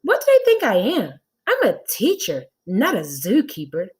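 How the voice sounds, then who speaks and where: A woman's voice: a little high, wildly swooping pitch, normal speed, darkish tone, slightly rough, voice pushed up, exaggerated voice. A woman voicing a flustered, confused but nice female schoolteacher in a children's storybook, exclaiming with emphasis and disbelief. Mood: indignant.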